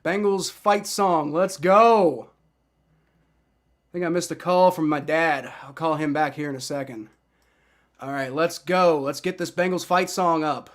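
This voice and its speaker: A young man hosting a live sports fan stream, shouting and cheering with a voice strained from yelling, swearing freely. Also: on the high side, unhurried. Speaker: sports fan livestream host. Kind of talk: excited live fan reaction.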